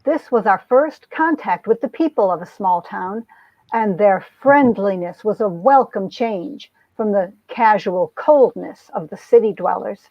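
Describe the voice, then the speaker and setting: A woman's voice: mid-range voice, lively intonation, measured pace, no room sound. A woman playing an earnest young small-town heroine telling her story in a romance comic reading, on dull lo-fi audio. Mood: reflective.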